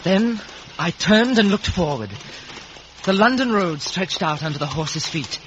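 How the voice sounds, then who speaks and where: A man's voice: high voice, expressive, a little slow. An actor playing an earnest, polite young man in a 1950 radio drama, both acting and narrating. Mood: reflective narration. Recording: muffled, hissy vintage radio recording.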